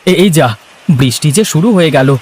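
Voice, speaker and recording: A man's voice: a little high, animated, normal speed, darkish tone, slight background noise, close to an everyday voice. A man voicing a lovesick, food-loving village husband in a cartoon, with an exclamatory, sighing manner. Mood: wistful. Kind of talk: cartoon character acting.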